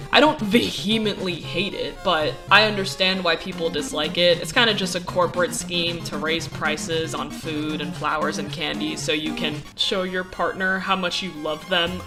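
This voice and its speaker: A woman's voice: lowish voice, bright, forceful tone. A 21-year-old woman and animation student answering fan questions in a casual Q&A vlog. She is blunt and opinionated, laughs often and swears. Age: young adult, 21. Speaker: animation student and YouTube artist. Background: video game music.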